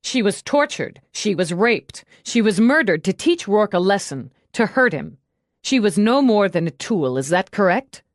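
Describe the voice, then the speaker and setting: A woman's voice: medium pitch, big pitch swings, a little slow, no room sound, clean studio sound. Hard, relentless policewoman grilling a suspect , read by a woman. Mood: cold, relentless. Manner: pressing, confrontational questioning. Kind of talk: audiobook character dialogue.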